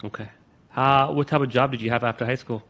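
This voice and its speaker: A man's voice: medium pitch, steady tone, fast, a bit bright. Informal male podcast host. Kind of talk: casual interview.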